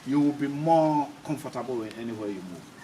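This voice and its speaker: A man with a Ghanaian accent hosts an online interview in a medium-pitched voice. He questions emphatically and repeats his points. Clear ambient noise sits behind his speech. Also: animated, fairly quick. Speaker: host, interviewer. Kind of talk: on-camera interview for an online talk show. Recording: clearly noisy ambient background.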